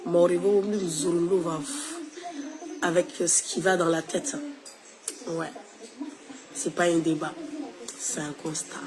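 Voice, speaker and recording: A woman's voice: fairly low pitch, flat delivery, measured pace, long pauses, a crowd in the background, rough recording. A woman, recovering from flu, talking casually and opinionatedly to her followers while switching between languages. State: recovering from flu, tired-sounding. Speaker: social media creator. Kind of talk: casual talk to followers, mixing in Shimaore.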